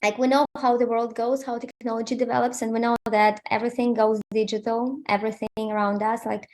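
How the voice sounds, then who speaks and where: A woman's voice: fairly high pitch, level tone, a little fast, a little mellow. A woman in her thirties from Ukraine, an English speaker, talking in a casual interview over a video call with many fillers. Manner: hesitant, many fillers, plain and candid. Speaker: interview guest, QA automation engineer.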